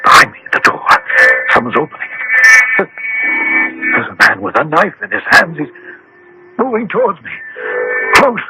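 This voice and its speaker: An animated, headstrong, agitated gentleman. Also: high voice, vocal fry, over loud music, exaggerated voice, rough recording. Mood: awed, horrified. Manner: insistent, dramatic, halting exclamations. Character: headstrong, agitated gentleman demanding to know his fate. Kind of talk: 1970s-80s radio drama acting. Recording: old radio broadcast, faint noise.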